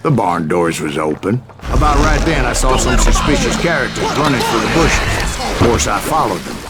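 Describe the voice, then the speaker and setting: An actor playing a gruff old gunslinger in a video game, telling tall tales in a salty, folksy American Western drawl. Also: male voice, mid-range voice, big pitch swings, moderate pace, loud music behind the voice, natural voice. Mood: salty, exasperated. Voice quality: gravelly.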